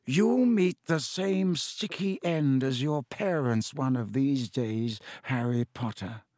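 Man reading as a haughty aristocratic villain, sneering, swinging from sharp outbursts to soft menace. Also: fairly high pitch, huge pitch swings, slow pace, gravelly, breathy edge, no room sound, studio quality, pitched above the performer's own voice, full character voice. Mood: menacing. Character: cold haughty aristocratic male villain, middle-aged.

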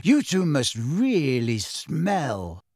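Audiobook role: dreamy, unflappable teen girl, played by a man; slow, serene, quietly dignified, dry close sound. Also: fairly high pitch, no echo, exaggerated voice. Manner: slow, unhurried, calm delivery. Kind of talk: audiobook character voice.

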